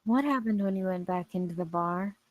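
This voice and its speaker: A woman playing a steady, worried police officer and loyal friend in a screenplay table read. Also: fairly low pitch, fairly quick, no room sound, close to an everyday voice. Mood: concerned, probing.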